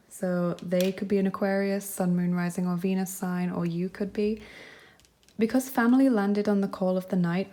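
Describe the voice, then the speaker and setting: A woman giving a relaxed, reassuring pick-a-card tarot reading, thinking aloud with soft fillers as she goes. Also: on the low side. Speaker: tarot reader.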